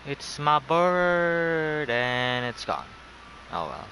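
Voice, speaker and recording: A man's voice: on the high side, very animated, very slow, bright, forceful tone, slight background noise. A man doing casual gameplay commentary, talking to himself in a wry way. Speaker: gamer.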